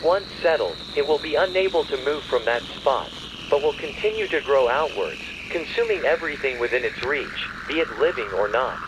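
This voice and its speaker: Noisy droning background, man, medium pitch, emergency broadcast announcer, scripted warning, formal, measured, official. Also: expressive. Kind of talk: scripted emergency alert narration.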